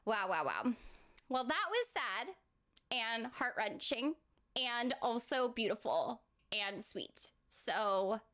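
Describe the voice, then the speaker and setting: A woman's voice: a little high, animated, slow, fairly bright tone. A woman streaming a game, reacting in a gushing, effusive way with frequent exclamations and laughs. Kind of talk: emotional live reaction while gaming.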